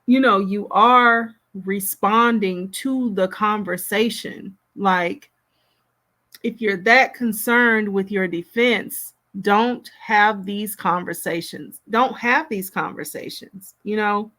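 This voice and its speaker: A woman's voice: mid-range voice, slow, bright, forceful tone. A woman host giving casual reaction commentary in reflective, hesitant phrasing.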